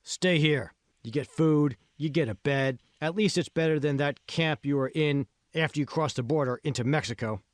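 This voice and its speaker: A man's voice: fairly high pitch, moderate pace, no room sound, pitched above the performer's own voice, natural voice. A man voicing a bossy, irritable foreman in an audiobook, coarse and impatient, haranguing his crew. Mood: bossy, persuading. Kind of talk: audiobook character dialogue.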